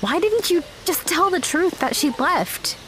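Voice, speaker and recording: A woman's voice: very high voice, animated, measured pace, background noise. An actress playing a candid, guarded young woman in a naturalistic audio drama, talking in a casual, direct way. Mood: confronting. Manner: frank, casual, conversational.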